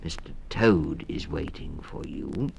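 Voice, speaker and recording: A man's voice: extremely low pitch, slow, creaky, darkish tone, a little hiss or hum, heavily acted. A man voicing a kindly, homely mother mouse for a children's storybook record. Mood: homely, relieved.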